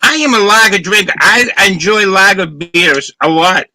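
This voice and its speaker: A man's voice: high voice, animated, normal speed, pushed and bright. A man with a Boston accent, chatting casually on a video call and describing a beer's taste in detail. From Boston, Massachusetts. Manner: detailed, descriptive talk about flavor. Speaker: beer reviewer, co-host. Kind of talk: casual chat, beer tasting review.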